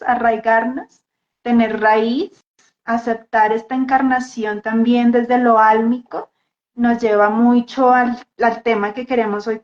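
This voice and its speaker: A woman with a Bogotá Colombian accent introduces herself casually and reflectively, halting and repeating words as she goes. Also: fairly high pitch. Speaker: guest dance therapist. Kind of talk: casual personal introduction in a live talk. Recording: echoey room, dull muffled audio.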